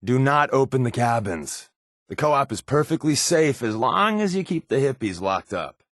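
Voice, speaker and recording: A man's voice: mid-range voice, very animated, moderate pace, dry recording, clean studio sound. A man playing a wry, laid-back spy companion in a post-apocalyptic video game, given to sardonic asides. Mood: wry, casual.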